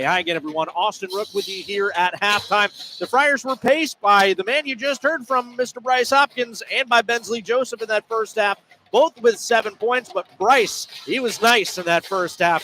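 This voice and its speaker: An American man hosts a radio sports halftime with a notably high, bright voice. His delivery is that of live game commentary, with some arena noise audible behind him. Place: indoor basketball arena.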